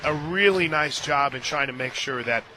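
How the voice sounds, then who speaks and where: Male American sports radio announcer, slightly high voice, chatty and emphatic, music behind him in a stadium booth. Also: normal speed, sharp tone. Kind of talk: live sports commentary with chatty asides.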